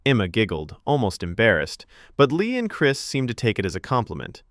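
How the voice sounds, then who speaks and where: Man, low voice, animated intonation, dry close sound, scripted story narration. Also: brisk, no echo.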